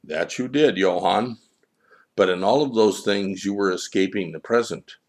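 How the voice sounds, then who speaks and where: A divine child in a storybook, voiced by a man in his own low register. The delivery is stern and measured, patiently lecturing with solemn wisdom. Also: darkish tone. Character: stern, wise divine child, serenely correcting.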